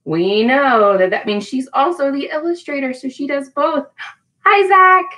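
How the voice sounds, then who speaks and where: A woman's voice: high voice, a little slow, bright, forceful tone. Woman hosting a children's storytime stream. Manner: sing-song greetings to children by name; encouraging, addresses children directly. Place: small indoor room at home.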